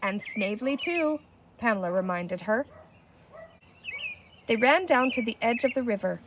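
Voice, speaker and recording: A woman reads a children's book aloud in a scripted narrating style. Her voice is on the high side and very expressive in its rise and fall. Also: moderate pace, noisy background. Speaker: storybook reader.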